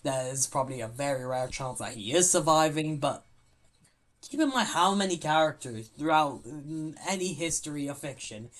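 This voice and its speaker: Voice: mid-range voice, lively intonation, normal speed, a bit bright. A podcast co-host chatting casually about a film, rambling and stopping to search for words aloud, with the odd casual swear.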